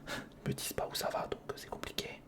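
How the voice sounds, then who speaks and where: A breathy, unvoiced whisper carries this ASMR commentary. The speaker mutters casually to themselves while working with their hands, repeating short phrases in informal slang, close to the mic. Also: fairly quick. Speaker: ASMR video creator. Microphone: close-up studio condenser or handheld recorder.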